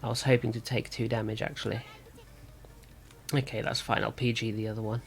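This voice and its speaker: A man with a sore throat and slightly rough voice, thinking aloud as he gives casual commentary on a card game. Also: medium pitch, level tone, brisk, soft background music. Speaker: gaming video host.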